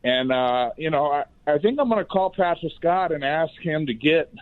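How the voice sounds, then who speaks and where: A man's voice: a little high, animated, moderate pace, no echo. A man calling in to a talk show, chatting in a casual, opinionated, rambling and colloquial way full of anecdotes. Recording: thin phone-like line.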